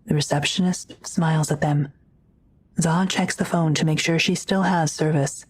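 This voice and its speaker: Female narrator for sci-fi audio drama; deep, slightly rough voice, scripted scene description. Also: fairly even intonation, moderate pace.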